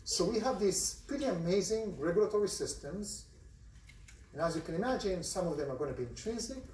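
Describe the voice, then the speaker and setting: A man's voice: high-pitched, sing-song, a little fast, a little mellow, a little hiss or hum. A man with an Israeli accent giving a university lecture to a large class, talking conversationally and often putting questions to the students. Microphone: phone recording from the audience seats. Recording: distant, roomy lecture hall recording.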